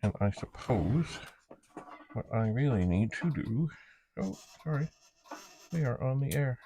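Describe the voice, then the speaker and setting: A man's voice: medium pitch, expressive, unhurried, background noise. A man chatting casually as a video host, rambling and thinking aloud as he shows an item. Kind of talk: casual unboxing chat.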